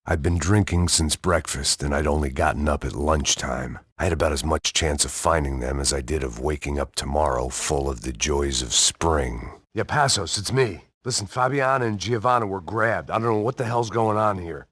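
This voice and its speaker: An actor playing a middle-aged, hard-drinking ex-cop in a noir video game, with a deadpan, sardonic, self-loathing manner. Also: male voice, very deep voice, normal speed, few pauses, husky, no echo, studio quality, exaggerated voice. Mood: bitter, resigned, then frantic, defeated. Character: world-weary, hard-drinking male ex-cop turned failing bodyguard.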